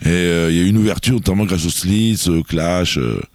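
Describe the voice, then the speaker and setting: A man's voice: low-pitched, a little fast, a little mellow, no room sound. A man presenting music on a radio show in a studio, chatting casually and rambling with many fillers and restarts. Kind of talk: casual radio chat about music history.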